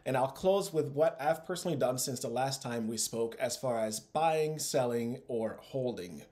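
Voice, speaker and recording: Man, thirties, investing video host, mid-pitched, scripted commentary, upbeat, emphatic. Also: animated, moderate pace, dark tone. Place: small room at home, indoors.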